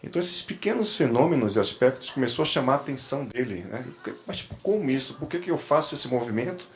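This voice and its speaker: A man's voice: mid-range voice, lively intonation, fast talker. A man with a Brazilian accent from Bahia gives an informal explanatory lecture as a therapist and trainer, rephrasing and repeating words as he goes. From Salvador, Brazil. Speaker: therapist and trainer giving a talk. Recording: clear background room noise.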